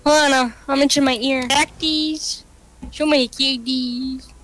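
A woman's voice: high voice, steady tone, a little slow, very noisy. A woman bantering casually over a co-op game, playful, teasing and foul-mouthed. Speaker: gaming channel player and host. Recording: game music behind the voice. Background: video game music.

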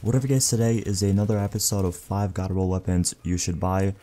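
A man with a stuffed, nasal voice giving relaxed, casual commentary over game footage. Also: low-pitched, a little fast. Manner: relaxed, talks through recommendations with many fillers. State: stuffed nose. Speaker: gaming YouTuber.

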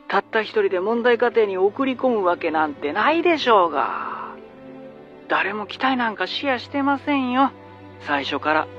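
A man's voice: sits high, a bit bright, lo-fi sound. A man acting a patronizing, dismissive middle-aged vice principal in a radio drama. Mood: cold, belittling. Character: condescending middle-aged vice principal, coldly self-serving. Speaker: actor.

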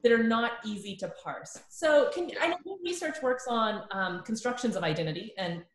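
A woman's voice: on the high side, lively intonation. Chatty woman on a roomy video call. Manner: chatty, thinks aloud with quick asides. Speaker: course instructor hosting. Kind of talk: conversational video-call interview. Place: living room with bookshelves. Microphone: laptop webcam on a video call.

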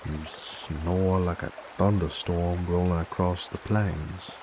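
A gruff werewolf bounty hunter for an audio drama. He drawls slowly in an American Southern accent, folksy and threatening, with a very low, gravelly voice. A stream trickles clearly behind him. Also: steady tone, background noise. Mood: irritated, threatening. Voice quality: very deep, gravelly. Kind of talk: roleplay audio acting, drawling cowboy talk. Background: flowing water ambience.

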